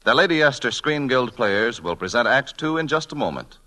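A man's voice: mid-range voice, sing-song, brisk, bright, forceful tone. A man announcing a 1940s radio program in a formal, declamatory manner. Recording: noisy old broadcast, audience sound.

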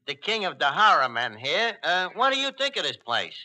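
An actor playing a brash, uneducated tavern manager with a New York accent and slangy, hesitant, bluffing delivery in 1940s radio comedy style. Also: male voice, fairly high pitch, big pitch swings, moderate pace, sharp tone, full character voice. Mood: flustered. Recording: very noisy old 1940s radio recording.

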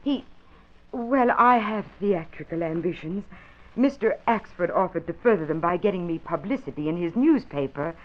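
A woman's voice: fairly low pitch, lively intonation, moderate pace, close to an everyday voice. A woman playing an affected, evasive young aspiring actress in an old-time radio drama, with theatrical delivery. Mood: affected concern. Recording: dull vintage radio recording.

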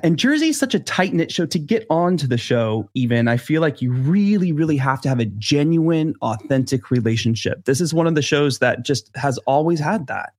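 A man's voice: fairly high pitch, lively intonation, normal speed, few pauses, a little mellow. A man co-hosting a gossip show in casual chat, with a conversational, skeptical way of talking. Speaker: co-host of a celebrity gossip show.

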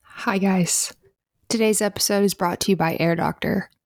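A woman's voice: medium pitch, steady tone, normal speed, vocal fry, faint music behind. A woman podcast host reading a scripted sponsor spot in a chatty, personal style.

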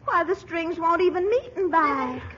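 A woman's voice: very high-pitched, lively intonation, moderate pace. A woman acting as a coy, flirtatious Southern belle with a Southern US accent, gushing and genteel in a 1940s radio comedy. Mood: playful, coaxing. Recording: dull, hissy old radio recording.

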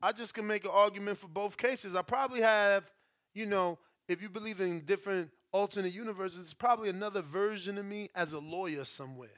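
High, bright-voiced animated man. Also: fairly quick. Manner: animated, argumentative, slangy. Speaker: podcast co-host. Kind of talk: casual boxing chat.

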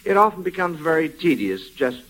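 A man's voice: on the high side, very animated, moderate pace, fairly bright tone. A man hosting a 1940s children's radio quiz in an encouraging, folksy manner. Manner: encouraging, folksy, repeats approving murmurs. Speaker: quizmaster of children's quiz show. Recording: vintage radio, noisy with audience.

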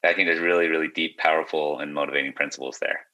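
A man's voice: a bass voice, fairly even intonation, very fast, pushed and bright, poor audio. A young man speaking as a podcast guest, reflective and casual, feeling his way through his thoughts. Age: young adult.

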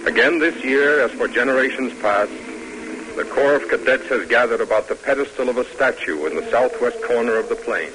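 A man's voice: mid-range voice, a little fast, background noise. A man announcing and narrating a 1950s radio drama in a formal, solemn, declamatory style. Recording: vintage radio broadcast with music. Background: music.

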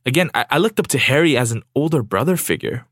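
A young man from South Korea, a native Korean speaker, reading a steady, reflective personal essay as a podcast producer. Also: mid-range voice, quick pace, no echo, clean studio sound.

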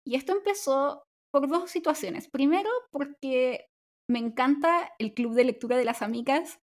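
A woman from Chile with a Chilean Spanish accent co-hosts a laid-back podcast. Her voice sits high, and she talks in an upbeat, chatty way, often restarting her sentences. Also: moderate pace. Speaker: podcast co-host. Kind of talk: casual chat.

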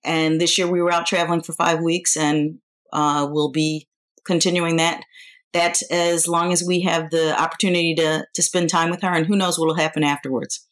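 An American woman in her fifties, originally from New York, speaks in a fairly low voice. She is an interview guest answering in a casual, conversational style, feeling out her phrasing as she goes. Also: little pitch movement, moderate pace. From New York, United States. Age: 50s.

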